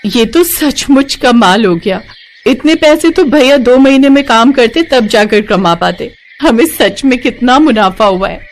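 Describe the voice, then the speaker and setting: An earnest young woman from a poor family as a female storyteller plays her, with a high voice. She is tender-hearted and speaks with open emotion, in the manner of a cartoon moral tale. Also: animated, measured pace. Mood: excited. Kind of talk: animated moral story dialogue.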